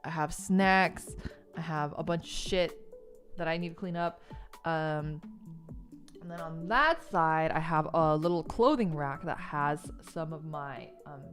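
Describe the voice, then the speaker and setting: A woman's voice: low-pitched, unhurried, soft background music. A woman streamer chatting casually from her home gaming room, rambling and giggling, with lots of ums while she lists her things. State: stuffed up after a missed sneeze. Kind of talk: casual stream chat while building a model kit.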